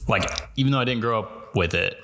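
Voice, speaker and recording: A young man co-hosting a podcast in casual chat, playful and quick to laugh, often restarting his sentences. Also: mid-range voice, gravelly, fairly bright tone. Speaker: podcast co-host.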